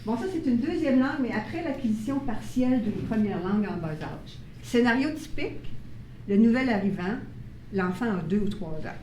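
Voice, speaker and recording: A woman's voice: a little high, lively intonation, normal speed, dark tone. A woman leading a workshop for parents, explaining ideas and checking in with her listeners. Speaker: workshop presenter on child bilingualism. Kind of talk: live workshop talk to parents. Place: meeting room with audience. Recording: roomy sound from a distant mic, faint noise.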